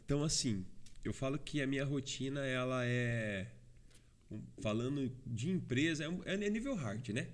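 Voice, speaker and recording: A middle-aged man talking as an interview guest, earnest and explanatory, often checking in with short tag questions. His voice has an ordinary middle pitch. He talks his way through points with restarts and fillers. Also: expressive, normal speed. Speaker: trucking company owner, guest. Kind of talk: casual interview chat.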